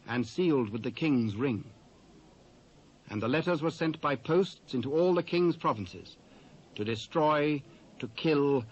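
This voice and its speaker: British guy narrating the bible, solemn and measured, medium pitch, muffled old tape sound. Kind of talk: dramatised scripture narration. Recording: dull old cassette recording.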